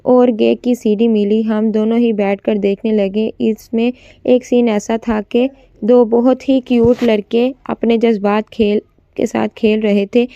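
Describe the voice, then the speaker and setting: A woman's voice: on the high side, flat delivery, a little slow, dark tone, no echo, lo-fi sound. A woman narrating a scripted first-person story, occasionally stumbling as she reads.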